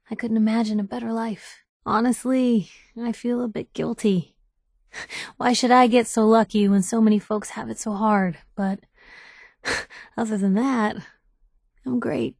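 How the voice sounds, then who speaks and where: A woman voicing a sharp-witted, idealistic young female reporter companion in a video game, wry and conversational. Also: a little high, no echo, clean studio sound. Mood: loving, happy.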